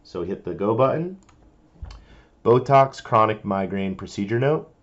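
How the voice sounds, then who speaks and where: Male tutorial presenter, lowish pitch, informal and explanatory, walking through steps, on a somewhat muffled lo-fi recording. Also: lively intonation, unhurried. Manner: informal, explanatory, talking through each step. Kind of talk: casual step-by-step screen-recording tutorial.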